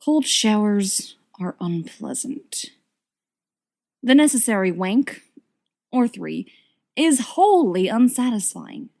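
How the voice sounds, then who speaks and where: A woman's voice: medium pitch, sing-song, slow, gravelly, pauses often, a little mellow. A woman narrating a written story expressively, with wry, dramatic emphasis. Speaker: audiobook reader of fan fiction.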